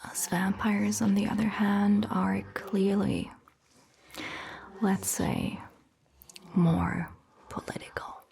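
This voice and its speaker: A woman voicing a calm, attentive vampire doctor in a gentle, breathy, mostly whispered ASMR roleplay, speaking in a measured way. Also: medium pitch, a little hiss or hum. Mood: calm, musing. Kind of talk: soft-spoken ASMR roleplay.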